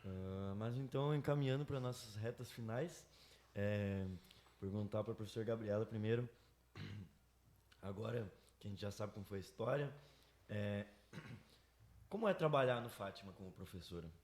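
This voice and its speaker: A young man hosting a school podcast, asking questions softly and hesitantly. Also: lowish voice, moderate pace. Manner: soft, hesitant, clears throat. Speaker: student podcast host. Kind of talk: interview moderating.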